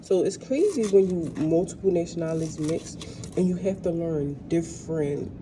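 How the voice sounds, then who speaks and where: Woman rambling casually over clear background noise. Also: fairly low pitch, normal speed. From United States. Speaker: vlogger. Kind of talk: casual rambling storytelling while cooking. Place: kitchen. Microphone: no dedicated microphone, built-in device mic. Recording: noisy kitchen room without a microphone.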